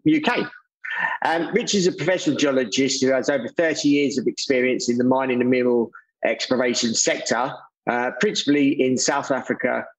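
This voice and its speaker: A man hosting an interview podcast in an informal, somewhat halting, loosely worded way. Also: mid-range voice, poor audio. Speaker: podcast host.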